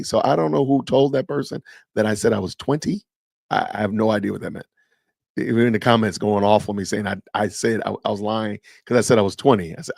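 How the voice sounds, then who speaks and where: A man's voice: lowish voice, vocal fry, darkish tone, no room sound, studio quality. A man in his fifties hosting a casual live stream, chatting with viewers and answering the live chat as he goes. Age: 50s. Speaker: podcast host.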